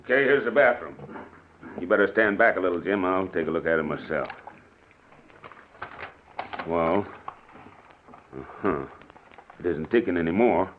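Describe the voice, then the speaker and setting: A man's voice: sits low, moderate pace, long pauses, lightly acted. An actor playing a plain-spoken, commanding bomb squad policeman in an old-time radio mystery. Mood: businesslike, cautious. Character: brisk, take-charge bomb squad policeman. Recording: noisy, tinny old radio recording.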